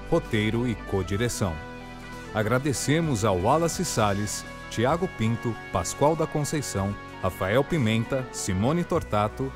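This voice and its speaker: Locutor masculino, entonação variada. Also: male voice, mid-range voice. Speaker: announcer reading the credits. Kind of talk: scripted announcement.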